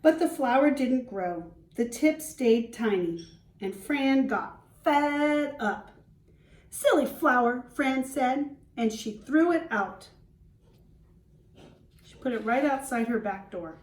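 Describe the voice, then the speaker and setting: A woman with a somewhat high voice reads a picture book aloud as a teacher would. She goes very slowly, explains in simple terms and pauses for questions. The sound is roomy and echoing, picked up at a distance from the microphone. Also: lively intonation. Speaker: schoolteacher reading to class. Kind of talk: children's storybook read-aloud with questions.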